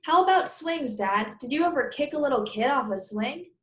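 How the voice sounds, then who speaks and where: A woman's voice: high voice, moderate pace, voice pushed up. Woman reading as an eager young boy, roomy sound. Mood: inquisitive. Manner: excited, pleading questions. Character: eager, curious fourth-grade boy pestering his dad. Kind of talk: children's book read-aloud.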